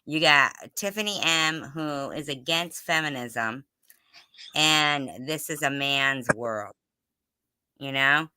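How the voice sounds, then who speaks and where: A woman's voice: low-pitched, slow, bright, forceful tone, clear, resonant tone, no echo. A woman in casual, argumentative conversation, insistent and repeating herself to press her point.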